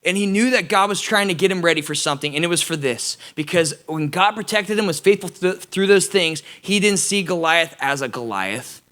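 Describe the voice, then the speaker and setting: Young male youth pastor, high bright voice, animated, talks right to the kids. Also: a little fast, few pauses. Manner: animated, casual, addresses students directly. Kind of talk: energetic youth sermon.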